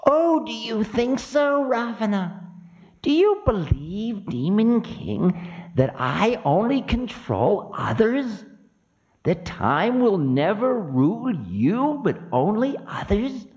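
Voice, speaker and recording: A man voicing Kala as a cruel, indignant spirit of Time, shrill, menacing and taunting, in dramatic mythic storytelling. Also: big pitch swings, slow pace, darkish tone, lo-fi sound, exaggerated voice. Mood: mocking. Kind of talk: dramatic oral storytelling of myth.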